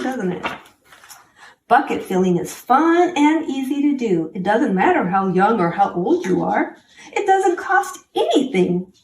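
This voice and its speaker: A woman with a fairly high, very lively voice reads a children's picture book aloud. She speaks simply and encouragingly, talking straight to young listeners. The recording sounds roomy, with some distance from the mic. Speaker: children's story reader. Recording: roomy, distant mic, faint background noise.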